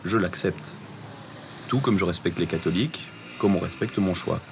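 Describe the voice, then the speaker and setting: Man, interviewee, plain, deep voice, outdoor traffic noise. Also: moderate pace, creaky. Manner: plain, matter-of-fact. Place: outdoor public event.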